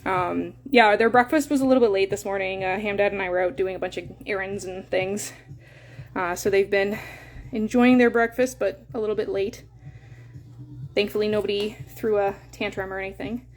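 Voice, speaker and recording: A woman's voice: medium pitch, fairly even intonation, normal speed, slight background noise. A young woman with a Canadian accent chatting in a casual live stream, full of fillers and coos. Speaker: hamster breeder streaming live.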